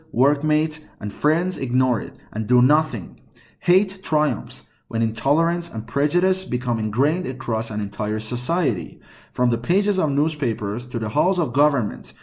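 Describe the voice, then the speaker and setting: Male narrator, mid-range voice, for formal read-aloud practice texts; measured, scripted delivery. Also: medium pitch, darkish tone.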